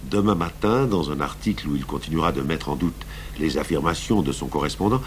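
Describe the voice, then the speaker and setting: Low-voiced police commissioner. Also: male voice. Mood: calm, firm. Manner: measured, deliberate, deferential but firm. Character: seasoned male police commissioner, calm, patient, wryly stubborn. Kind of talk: French radio drama acting. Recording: hummy, noisy old radio broadcast.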